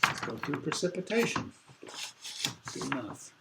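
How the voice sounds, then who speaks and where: An older man lecturing a university class over a video call, congested, halting and full of fillers as he thinks aloud. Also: on the high side, big pitch swings, vocal fry, reverberant, very noisy.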